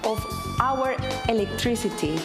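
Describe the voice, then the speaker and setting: A woman's voice: fairly high pitch, expressive, measured pace. A woman from Costa Rica with a Costa Rican Spanish accent, delivering a prepared public speech in English. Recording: echoey speech clip under loud music.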